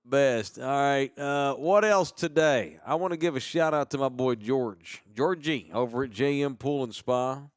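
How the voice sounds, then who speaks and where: A man's voice: mid-range voice, a little slow, hardly any pauses, clean tone, no echo, clean studio sound. Profane, rambling American man hosting a podcast. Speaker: host of a live podcast. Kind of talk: casual banter and sponsor shout-outs.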